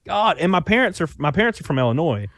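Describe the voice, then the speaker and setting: A man's voice: on the high side, big pitch swings, quick pace, fairly bright tone, no echo. Man, Alabama accent, young adult, rambling casual talk, dry sound. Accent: Southern US (Alabama). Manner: rambling, loose, with many fillers and restarts. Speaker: YouTuber guest. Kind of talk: casual conversation.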